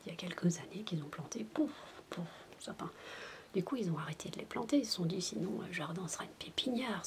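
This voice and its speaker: A woman doing soft-spoken ASMR storytelling, playful and whispery, wandering into chatty asides as if to a child. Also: fairly low pitch. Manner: playful babysitter addressing a child listener. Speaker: ASMR roleplay performer.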